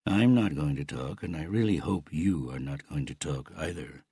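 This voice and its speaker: Menacing middle-aged Canadian uncle, played by a male narrator, very deep and husky, measured threats in an audiobook thriller. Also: dark tone, dry recording, clean studio sound, heavily acted. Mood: quietly threatening.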